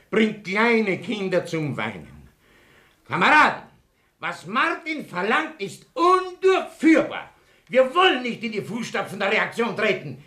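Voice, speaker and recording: Pompous, husky town councillor, wildly swinging pitch. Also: male voice, bright, forceful tone, exaggerated voice. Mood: outraged oratory. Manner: pompous, patronizing. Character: blustering, domineering middle-aged male town councillor and party functionary. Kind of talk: radio play acting.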